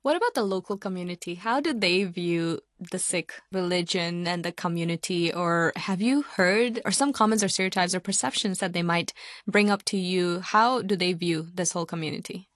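A woman's voice: fairly low pitch, moderate pace, fairly bright tone, no echo, studio quality. A woman co-hosting an interview, putting conversational questions to a guest. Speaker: co-host interviewer.

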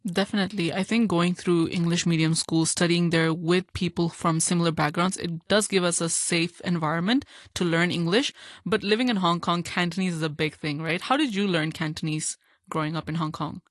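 Low-voiced woman asking questions. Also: fairly even intonation, fairly quick, hardly any pauses, no echo, studio quality. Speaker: interviewer. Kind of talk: interview question.

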